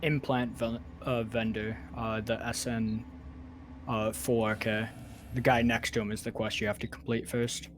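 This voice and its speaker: A man's voice: medium pitch, little pitch movement, measured pace, noisy background. A man in his twenties casually explaining game tips to his friends over voice chat. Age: 20s. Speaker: gamer giving tips to guildmates.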